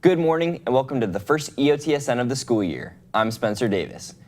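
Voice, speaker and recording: A young man reads the sports news for a student broadcast in an upbeat, scripted way. His voice sits at an ordinary middle pitch, and the recording is clean. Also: lively intonation, fairly quick, darkish tone.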